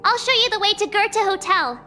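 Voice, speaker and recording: A woman voicing a bubbly, impulsive teenage adventurer girl in an anime-style game, chatty and expressive. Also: very high-pitched, brisk, soft background music, exaggerated voice. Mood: curious, feisty.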